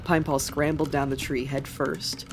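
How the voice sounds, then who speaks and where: A woman's voice: low-pitched, level tone, measured pace. A woman reading scripted fiction as a dramatic narrator. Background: music.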